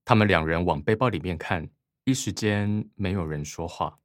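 A man's voice: low voice, normal speed, dry recording, clean studio sound. A man with a Taiwanese Mandarin accent narrating a scripted radio drama. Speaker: narrator reading synopsis, credits and stage directions.

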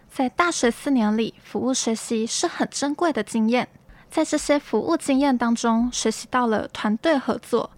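Young taiwanese woman reading campus news, kinda high voice, dry close sound. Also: no room sound. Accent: Taiwanese Mandarin. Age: young adult. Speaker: student news presenter.

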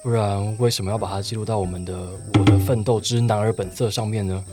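Low-voiced, dreamy Taiwanese schoolboy played by a man. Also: fairly even intonation, quick pace, loud music behind the voice, lightly acted. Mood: playful, hopeful. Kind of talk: Mandarin radio play acting and first-person narration.

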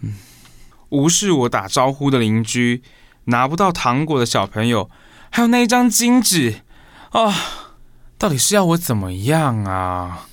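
A man's voice: medium pitch, very animated, unhurried, no room sound. An actor with a Taiwanese Mandarin accent playing an exasperated young man who mutters and grumbles in colloquial speech in an audio drama. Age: young adult. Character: exasperated, puzzled young man living alone. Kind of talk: podcast audio drama, talking to himself.

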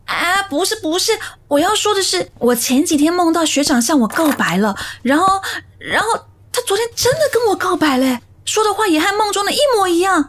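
A woman's voice: very high voice, sing-song, fairly bright tone, exaggerated voice. An actress playing a flustered, sweet young woman with a Taiwanese accent in a romantic radio drama, hesitant and stammering. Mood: anxious, rushed. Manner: hesitant, stammering, breathy pauses.

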